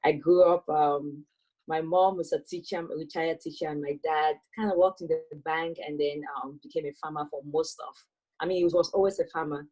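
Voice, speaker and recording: A woman, speaking as a guest on a video call. her voice is fairly low and mellow, and she tells her story thoughtfully, pausing on lots of "um"s and "uh"s. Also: steady tone, poor audio. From Cameroon. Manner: thoughtful, with many fillers and soft laughs. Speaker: guest, electrical engineer. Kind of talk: personal storytelling in an interview.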